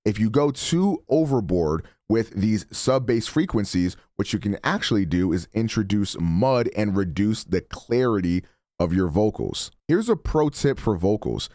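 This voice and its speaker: Deep-voiced man explaining mic settings. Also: a little slow, no room sound, studio quality. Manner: relaxed, chatty, self-correcting. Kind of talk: casual tutorial walkthrough. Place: home streaming setup. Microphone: studio broadcast mic.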